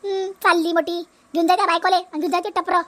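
A woman's voice: very high-pitched, steady tone, fast talker, fairly bright tone. A woman playing a domineering, spiteful elderly mother-in-law in a village comedy cartoon, scolding in a Vidarbha Marathi accent. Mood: scornful. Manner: scolding, commanding, repetitive.

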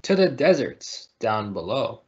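A man's voice: medium pitch, very animated, unhurried. A man reading a children's picture book aloud over a video call. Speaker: storybook reader. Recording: muffled, lo-fi video call audio.

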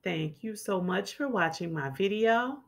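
A woman reads a picture book aloud for small children in a teacherly way. Her pace is slow, with each word clearly enunciated. Her mid-range voice rises and falls in an animated, expressive melody. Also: mid-range voice.

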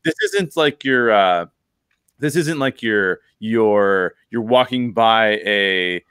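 A man's voice: on the low side, expressive, slow pace, a bit bright, smooth, no echo, studio quality. An American man in his forties hosting a casual podcast discussion, talking emphatically and repeating words for emphasis. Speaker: podcast host. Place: bedroom at home, on a video call. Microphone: close desk microphone with headset.

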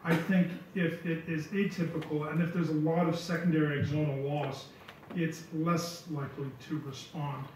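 A middle-aged man giving relaxed answers at a lecture hall podium, repeating words as he thinks. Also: fairly high pitch, normal speed. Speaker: visiting lecturer answering questions. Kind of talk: conference Q&A answers. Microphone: podium mic from far. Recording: echoey hall, distant dull sound, faint hiss.

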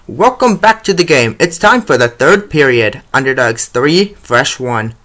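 A man giving scripted play-by-play narration as the announcer of an animated hockey series, reading out scores. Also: mid-range voice, measured pace.

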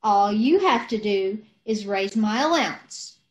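A woman acting a scatterbrained, innocent-sounding wife in an old-time radio comedy, deadpan and unhurried, over a video call. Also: mid-range voice, natural voice, poor audio. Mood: matter-of-fact. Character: scatterbrained, artfully innocent wife, mangles sayings. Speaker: amateur radio troupe actor.

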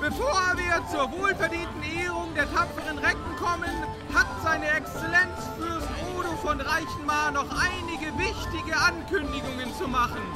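A pompous fantasy herald, declaims in an extremely high, projected voice. He stirs up fear like a practised orator, heard from a distance over loud music and a crowd. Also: steady tone, normal speed, few pauses. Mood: solemn, ominous. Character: pompous male herald rousing a crowd with fearmongering. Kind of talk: theatrical audiobook proclamation.

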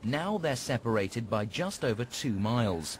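A man's voice: medium pitch, very animated, measured pace. A man reading scripted narration for a television documentary. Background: music.

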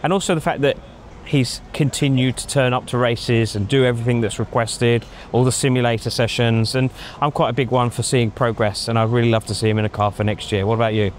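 A middle-aged man giving measured opinions in a casual outdoor chat, thinking aloud with frequent ums, on a lapel mic. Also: medium pitch, fairly even intonation, a little hiss or hum. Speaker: pundit. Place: outdoors in a racing paddock at night. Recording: faint outdoor street ambience.

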